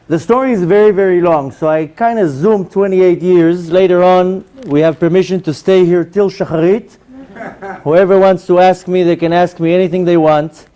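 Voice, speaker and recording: A man in his forties with an Israeli accent, a native Hebrew speaker, giving an informal, animated personal testimony as a religious lecturer. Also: high-pitched. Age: 40s.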